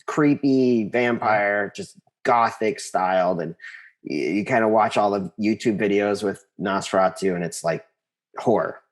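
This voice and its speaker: A man being interviewed, telling his story conversationally and haltingly, repeating words as he thinks. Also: fairly low pitch. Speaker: interview guest, composer.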